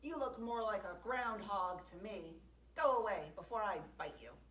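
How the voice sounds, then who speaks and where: A woman voicing a nasty, snarling big male dog who yells, in an echoey room. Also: medium pitch, lively intonation, moderate pace, far from the mic, lightly acted. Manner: loud and yelling.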